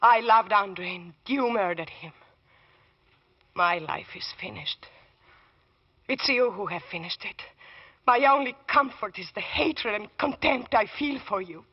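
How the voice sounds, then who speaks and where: An actress playing a grieving, embittered accused murderess in an old radio drama, full of contempt. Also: female voice, mid-range voice, lively intonation, unhurried, husky. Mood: cold hatred. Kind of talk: 1940s radio drama acting. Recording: dull lo-fi old radio recording.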